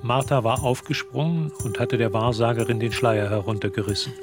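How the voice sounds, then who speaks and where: A composed male narrator, played by an actor for a radio drama. He tells a dark mystery in measured, literary sentences at a medium pitch, with faint music underneath. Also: fairly even intonation, close to an everyday voice. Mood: composed, suspenseful.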